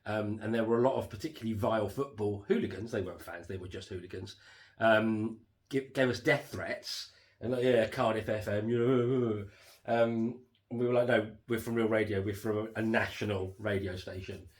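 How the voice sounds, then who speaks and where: A man co-hosting a casual home podcast, rambling with lots of fillers and restarts, his voice going hoarse. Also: lowish voice, fairly even intonation, normal speed. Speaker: podcast co-host reviewing a TV series. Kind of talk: casual chat.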